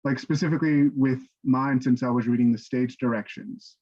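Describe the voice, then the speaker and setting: A man speaking in a measured, earnest way during a casual online panel discussion over a video call. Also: medium pitch, steady tone, warm tone. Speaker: stage directions reader. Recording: dull, lo-fi video-call audio.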